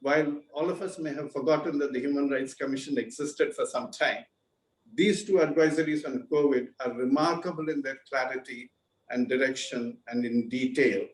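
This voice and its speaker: A man giving earnest, measured remarks as a panelist in a webinar over a video call. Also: mid-range voice, fairly even intonation, echoey room, low-quality recording.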